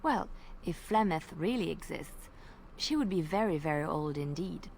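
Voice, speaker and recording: Low-voiced woman telling a dark legend. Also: animated, normal speed, creaky, a little hiss or hum. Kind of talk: storytelling a legend in character.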